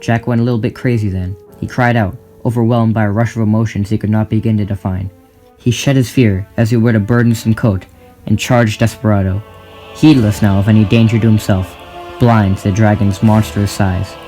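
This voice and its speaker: A man's voice: fairly low pitch, fairly even intonation, fairly quick, dark tone. A man narrating a scripted story in a dramatic style, with music behind his voice. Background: clear music.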